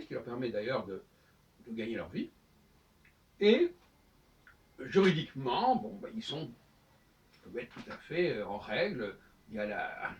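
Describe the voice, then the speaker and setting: Halting French-speaking man lecturing from afar. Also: mid-range voice. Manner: halting, many restarts and pauses. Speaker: host. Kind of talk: lecturing monologue. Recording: dull sound, from far off the mic.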